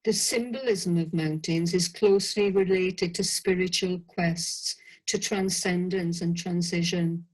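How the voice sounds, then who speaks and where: A middle-aged woman with a South Wales Valleys accent reads aloud from her own prose. Her voice sits a little low, and her delivery is slow, measured and reflective. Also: steady tone, a little mellow, poor audio. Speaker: writer reading her own piece. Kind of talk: scripted reading of personal prose.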